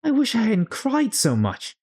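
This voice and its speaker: A man voicing a polite, anxious young girl in a storybook, with a high, light voice and an earnest, pleading manner. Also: wildly swooping pitch, measured pace, a little mellow, slightly rough, heavily acted. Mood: dismayed.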